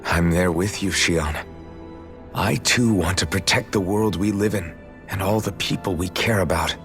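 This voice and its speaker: An actor playing an earnest, idealistic young hero in a video game, speaking sincerely and plainly. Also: male voice, low voice, measured pace, a bit bright. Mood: earnest, hopeful. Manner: sincere, plain-spoken, informal. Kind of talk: dramatic video game cutscene acting. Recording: music playing behind the voice.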